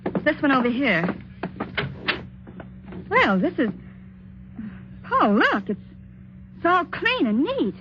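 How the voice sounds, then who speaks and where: An actress playing an anxious, caring young married niece, earnest and dramatic in the style of 1950s radio drama. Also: female voice, high-pitched, huge pitch swings, slow, long pauses, background noise, full character voice. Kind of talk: 1950s radio drama acting with first-person narration. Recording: muffled old radio recording.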